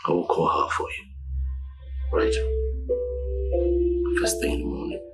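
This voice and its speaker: A man's voice: a little slow, over music, natural voice. An actor playing a devoted husband who soothes and pleads with his distraught wife. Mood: pleading. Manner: soothing, pleading, repeats himself gently. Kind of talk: film drama acting.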